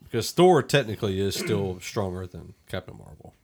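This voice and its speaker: A man's voice: sits low, lively intonation, moderate pace, darkish tone, a little hiss or hum. A man hosting a casual film discussion podcast, talking in a conversational, opinionated way. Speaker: podcast host.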